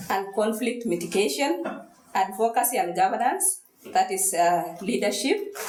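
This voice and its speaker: A woman giving an earnest, steady presentation as a social worker, pausing often on fillers. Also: medium pitch. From Kenya, Rift Valley. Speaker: social worker and conference speaker. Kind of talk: prepared presentation. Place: university conference hall. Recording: echoey hall.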